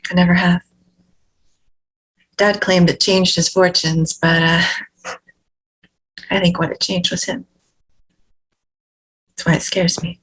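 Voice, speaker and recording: A woman's voice: fairly low pitch, level tone, slow pace, pauses often, fairly bright tone, breathy edge, exaggerated voice, low-quality recording. A woman playing a guarded, uneasy heiress in a read-through of a horror film script. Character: guarded, uneasy woman heir showing off a family secret.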